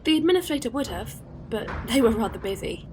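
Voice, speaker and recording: A woman's voice: on the high side, animated, brisk, darkish tone, slight background noise, close to an everyday voice. A woman playing a friendly, practical alien courier in a science-fiction audio drama, easygoing and matter-of-fact. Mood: polite, businesslike.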